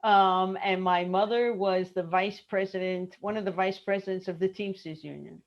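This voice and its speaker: A woman's voice: mid-range voice, moderate pace. Chatty Brooklyn woman, dull audio. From Brooklyn, New York. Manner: lively, chatty, laughs often. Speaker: guest, travel industry consultant. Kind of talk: casual interview chat.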